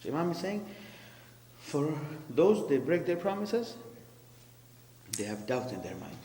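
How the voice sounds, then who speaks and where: A man's voice: fairly high pitch, sing-song, a little slow, dark tone. Man preaching in an echoey hall. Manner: explains terms, asks the congregation. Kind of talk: sermon commentary. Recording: echoey hall with faint hum.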